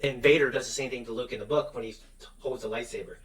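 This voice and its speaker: A man's voice: medium pitch, fairly even intonation, quick pace, rough recording. A man co-hosting a casual fan discussion, talking in a rambling, enthusiastic way. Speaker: co-host of a reaction show.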